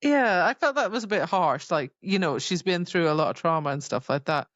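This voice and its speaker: A woman's voice: lowish voice, expressive, a little fast, no room sound. A woman co-hosting a book podcast, chatting casually and retelling scenes informally with exclamations and quoted lines. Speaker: podcast co-host. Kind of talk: casual book discussion chat. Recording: dry, slightly dull lo-fi sound.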